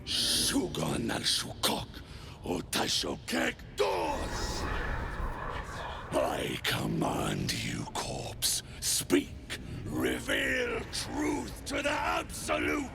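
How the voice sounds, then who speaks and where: A man playing a brutish, zealous hobgoblin warlord and priest in a video game, commanding and rough-spoken, with a gravelly voice. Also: very deep voice, huge pitch swings, drawn-out words, shouting, exaggerated voice. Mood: commanding, incanting. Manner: commanding, bellowing, rough folksy speech. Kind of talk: video game character acting. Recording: noisy game ambience behind.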